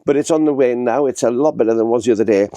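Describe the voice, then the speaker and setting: A man's voice: medium pitch, rapid-fire, dark tone, dry recording. A man, congested with a head cold, chatting to camera in a rambling, casual vlog with lots of fillers and restarts. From northern England. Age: 60s. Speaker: vlogger. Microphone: small handheld camera.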